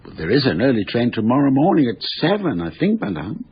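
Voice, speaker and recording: Creaky, obsequious older manservant. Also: male voice, mid-range voice, huge pitch swings, brisk, a little hiss or hum. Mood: eager, sly. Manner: obsequious, hesitant, discreetly sly. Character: deferential, insinuating older manservant. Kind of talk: radio drama acting. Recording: dull lo-fi radio recording.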